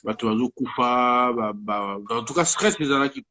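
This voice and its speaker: A man's voice: medium pitch, moderate pace, sharp tone. A man speaking French with a Congolese accent, talking casually as an interview guest while he has a head cold, rambling and mixing in Lingala words. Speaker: guest musician.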